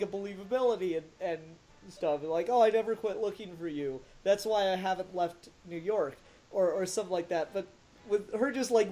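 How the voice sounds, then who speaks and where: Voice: mid-range voice, darkish tone. This is a podcast host in casual review conversation. The delivery is critical and exasperated, with emphatic stress on the points being made.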